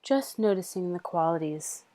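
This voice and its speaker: A woman leading a guided meditation in a soothing, measured manner with long pauses. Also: fairly low pitch, expressive, warm tone.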